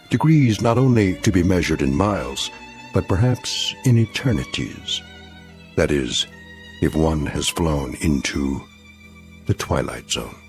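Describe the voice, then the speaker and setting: A grave radio storyteller with a very low voice. He speaks deliberately and portentously, with expressive swings in tone, over faint music. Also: full character voice. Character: grave male narrator of eerie tales. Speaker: narrator. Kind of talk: scripted radio anthology narration.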